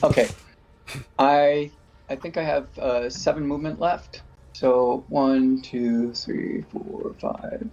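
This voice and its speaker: A man's voice: mid-range voice, steady tone, slow, darkish tone. A man playing in a tabletop game, thinking aloud in a hesitant, casual way. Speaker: player. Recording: muffled voice call audio.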